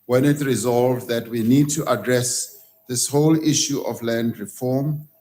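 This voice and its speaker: A man replying formally to a question in parliament, measured and polite. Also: mid-range voice, fairly even intonation, a little mellow. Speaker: president answering in parliament.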